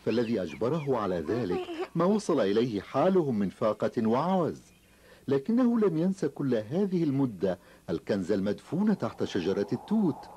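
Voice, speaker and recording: A man's voice: mid-range voice, noisy background. A man narrating a scripted cartoon tale in a formal, dramatic storytelling manner.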